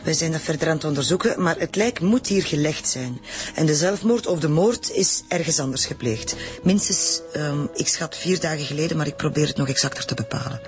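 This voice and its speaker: A woman playing a brisk, friendly forensic doctor in a radio drama. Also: low voice, faint music behind, close to an everyday voice. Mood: clinical.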